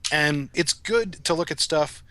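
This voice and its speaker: A middle-aged man with a bright, forward voice talks as a podcast guest. The sound is dry, with no room around it, and his delivery is casual and reflective. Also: on the high side, a little slow, dry recording.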